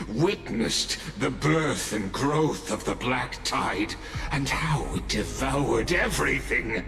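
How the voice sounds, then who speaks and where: Very slow, low, grandiose cosmic observer. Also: male voice. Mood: coldly superior. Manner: grandiose, deliberate, pausing pronouncements. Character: cold, condescending godlike observer of a doomed simulated world. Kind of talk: dramatic video game voice acting. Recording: echoey processing with music underneath. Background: loud background music.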